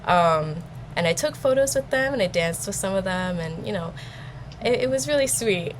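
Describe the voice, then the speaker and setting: Young woman, casual and nervous, hesitant rambling delivery, middle-pitched, slightly noisy recording. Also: animated, moderate pace. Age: young adult. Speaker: vlogger and interviewee. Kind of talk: casual chat.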